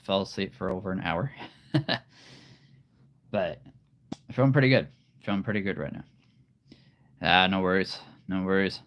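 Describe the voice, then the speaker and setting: A man with a very deep voice chatting casually on a live stream, relaxed and rambling. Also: moderate pace, pauses often, slight background noise. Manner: relaxed, rambling, laughs often. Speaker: live streamer and hobby voice actor.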